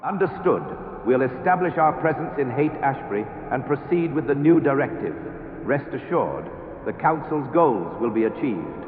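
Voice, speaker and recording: A man's voice: mid-range voice, animated, moderate pace, close to an everyday voice. A man playing a cold, threatening government commandant villain in a radio drama, tinny and noisy. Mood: reporting. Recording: tinny lo-fi with noise.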